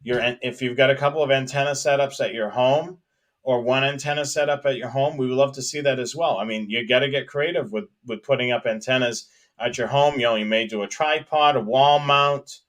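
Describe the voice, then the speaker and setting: A man with a mid-pitched voice co-hosts a hobby live stream. He chats casually and ramblingly, hesitating and circling back over his phrases. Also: steady tone, fairly quick. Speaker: co-host of a live hobby show about police scanners.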